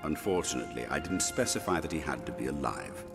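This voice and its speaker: A commanding fantasy-game official with an extremely low voice that swings widely in pitch. He is stern, shrewd and brooding, picking his words with care over a music backing. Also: expressive, quick pace, creaky, heavily acted. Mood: cold, formal. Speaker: voice actor. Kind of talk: dramatic video game voice acting. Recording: music behind the voice.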